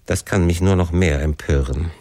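Man acting stern older-generation father, very low pitch, dry and hard, commanding, irritable, storybook drama. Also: moderate pace, warm tone, no echo. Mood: irritated, curt.

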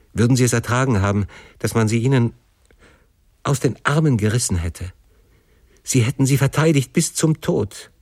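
A man's voice: low-pitched, dark tone, husky, dry recording. A man narrator plays a passionate young son in a classic story. He implores with fervent emotion and open desperation. The recording is close and dry.

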